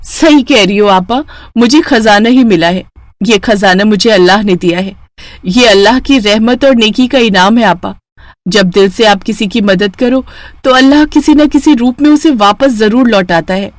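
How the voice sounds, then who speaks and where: A woman voicing a kind, humble, pious younger sister and mother in a storybook tale, gentle and earnest. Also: medium pitch, moderate pace, dry recording, natural voice.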